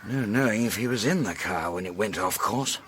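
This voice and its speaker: An actor playing a modest, observant private detective with a British accent, politely hesitant and dryly understated, in a radio drama. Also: male voice, fairly low pitch, expressive, a little fast, fairly bright tone, slight background noise. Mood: thoughtful.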